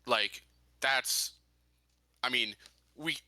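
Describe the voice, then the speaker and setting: A man talking casually as a player in a tabletop roleplaying game, thinking aloud in a deliberate, halting way. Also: medium pitch, bright, forceful tone, no echo. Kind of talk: casual in-character and out-of-character game talk.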